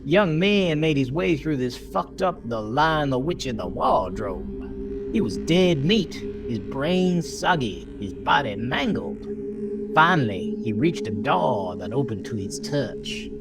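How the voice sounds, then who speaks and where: A man's voice: medium pitch, animated, takes it slowly. A man narrating a horror story in a theatrical, suspenseful manner. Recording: music under the voice throughout. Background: prominent music bed.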